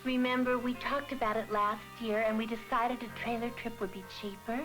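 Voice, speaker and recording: An actress playing a sweet, coaxing wife, affectionate and persuasive, in a 1970s educational film. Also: female voice, fairly high pitch, steady tone, a little fast, natural voice, rough recording. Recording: 1970s film soundtrack with music.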